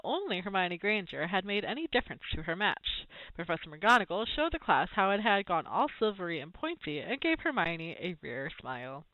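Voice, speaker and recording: A woman narrates a children's book with very lively, swooping intonation and dramatic emphasis. Her pitch sits in a middle range, and the recording is dry and slightly muffled. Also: no room sound. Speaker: storybook reader. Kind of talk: expressive children's book read-aloud narration.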